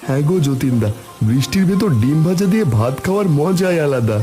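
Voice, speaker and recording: A man's voice: fairly high pitch, lively intonation, fairly quick, dark tone, faint music behind, natural voice. A man voicing a cheerful, agreeable village farmhand in a cartoon. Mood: cheerful agreement. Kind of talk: cartoon voice acting.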